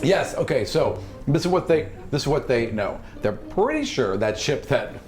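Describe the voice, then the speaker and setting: Very animated tabletop gamer. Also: mid-range voice, moderate pace, reverberant, soft background music. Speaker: tabletop game participant. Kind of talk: casual game table chat.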